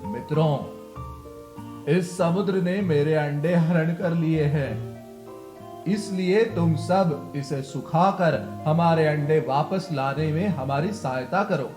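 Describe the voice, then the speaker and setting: Man playing a proud, high-voiced male bird. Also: takes it slowly, roomy sound, music in the background. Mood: determined. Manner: proud, self-assured. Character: boastful, determined little male lapwing bird.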